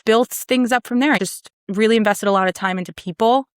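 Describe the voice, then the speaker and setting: A woman's voice: mid-range voice, fairly quick, dry recording. Chatty woman from Maryland, dry sound. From Maryland, USA. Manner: confident, chatty storytelling. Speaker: podcast guest. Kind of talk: casual conversation.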